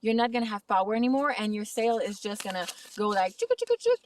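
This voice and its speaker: A woman with a French Canadian accent giving a casual kitesurfing lesson outside on a snowy lake, speaking slightly halting English. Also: medium pitch, a little mellow, recorded from a distance, a little hiss or hum. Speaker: kitesurfing instructor. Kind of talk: outdoor tutorial vlog. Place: snowy lake outdoors in winter.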